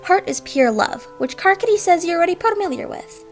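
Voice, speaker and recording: A woman's voice: very high voice, animated, a little fast. A woman playing an eager, girlish cat-like alien troll, earnest and dramatic in a fan audio drama. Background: music.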